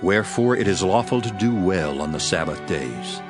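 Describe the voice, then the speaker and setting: Jesus as a grave, gentle teacher, delivered in a lowish voice that rises sharply on exclamations. Pitch moves widely across phrases. Soft music plays underneath. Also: male voice, animated. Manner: solemn measured delivery, rising to intense exclamations. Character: Jesus, calm grown man teacher, solemn and gentle. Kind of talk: dramatized Bible reading. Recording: studio voice with music beneath.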